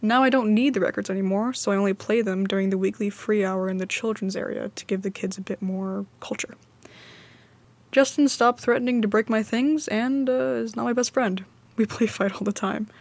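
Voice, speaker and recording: A woman's voice: mid-range voice, fairly quick, natural voice. A woman playing a placidly loyal, reformed commune member, telling her story confidingly in an audio comedy testimonial.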